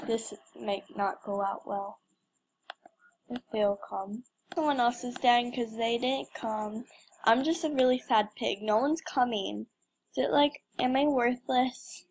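A woman's voice: a little high, slow pace, a little hiss or hum. A young woman giving casual, playful game commentary with mock drama and exclamations. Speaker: gamer recording gameplay.

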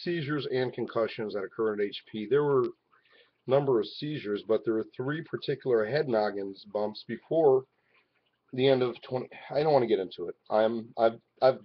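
Erratic man rambling into a webcam. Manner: swings between loud outbursts and low mutters. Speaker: vlogger. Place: living room at home, indoors. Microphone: webcam at arm's length. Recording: dull lo-fi webcam audio.

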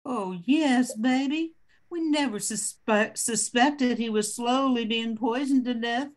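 A woman's voice: on the high side, slow pace. An older woman playing a forgiving, resolute widowed mother in an amateur radio play. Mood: tender, resolute.

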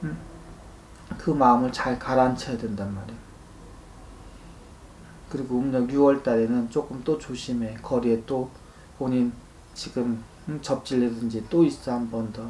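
A man's voice: mid-range voice, fairly even intonation, moderate pace, long pauses, darkish tone. A man in his thirties giving a fortune-telling consultation in a blunt, admonishing manner, often prompting with short questioning grunts. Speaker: shaman fortune-teller. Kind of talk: spoken consultation, informal advice. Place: small indoor consultation room. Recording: roomy echo, faint background noise.

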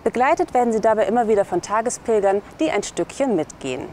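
A woman's voice: fairly high pitch, expressive, fast talker, a little mellow. A young woman presenting scripted local news to camera outdoors. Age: young adult. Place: outdoors by a building and trees. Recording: faint outdoor city noise. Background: faint outdoor ambience.

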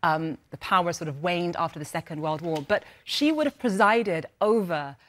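A woman news anchor, raised in the UK with family from Nigeria, speaking in a measured, reflective way on a live news panel. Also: lowish voice, fairly bright tone.

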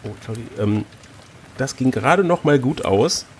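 Mann mit knarrender Stimme, der vorliest. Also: male voice, mid-range voice, expressive, normal speed, darkish tone, a little hiss or hum. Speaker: host, reader of an adventure gamebook. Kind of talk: expressive book narration with casual chat.

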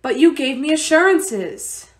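A woman's voice: sits high, expressive, a little slow. A woman acting a determined, anxious young refugee mother in a radio play, speaking earnestly. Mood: anxious, pleading.

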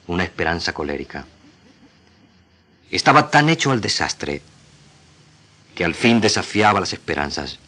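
A male Argentine radio actor reads literature aloud. His voice sits very low and carries a bright, forceful edge. The delivery is solemn, deliberate and theatrical, in a declamatory style. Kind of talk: dramatic literary reading for radio.

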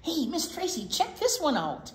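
An older woman co-hosting a children's storytime, chatting and reading aloud in simple, expressive, emphatic phrases. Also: high-pitched, normal speed. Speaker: teacher, children's storytime co-host. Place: indoor library storytime set, seated. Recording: roomy echo, faint background noise.

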